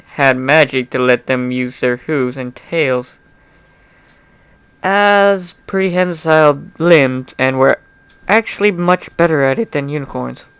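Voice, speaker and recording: A man's voice: medium pitch, fairly bright tone, clear, resonant tone, no room sound. A young man giving an amateur dramatic reading of fan fiction, informal and often breaking off into chatty, apologetic asides. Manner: informal, self-interrupting, apologetic.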